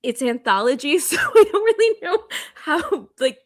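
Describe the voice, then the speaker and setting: A woman's voice: high voice, big pitch swings, moderate pace. A woman chatting informally as a guest and contestant on a podcast game. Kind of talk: casual chat.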